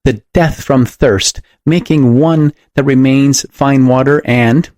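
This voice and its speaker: A man's voice: fairly low pitch, normal speed, darkish tone, no echo, clean studio sound, lightly acted. A man voicing a pompous, zealous doctor who makes grand, uplifting speeches in an audiobook. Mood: grandiose, zealous. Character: pompous, zealous male doctor lecturing on bold experiments. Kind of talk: audiobook character voice.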